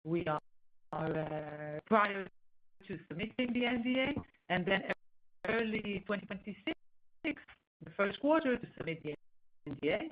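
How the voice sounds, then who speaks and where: A woman executive answering on an earnings call, explaining in a halting way as she searches for words. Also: mid-range voice, lively intonation. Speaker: company executive. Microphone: conference call line. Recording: dull, lo-fi conference call line.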